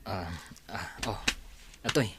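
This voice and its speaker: A man's voice: low voice, expressive, takes it slowly, a bit bright, slight background noise, heavily acted. An actor with an Ilocano Filipino accent playing an awkward, apologetic young male boss in a radio drama.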